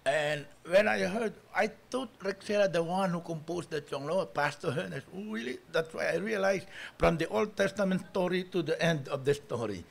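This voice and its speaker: A man's voice: a little high, normal speed, creaky, hardly any pauses. A middle-aged man, preaching informally as a guest pastor in a rambling, anecdotal way. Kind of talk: informal sermon opening with anecdotes. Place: small church hall, at pulpit. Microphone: pulpit microphone.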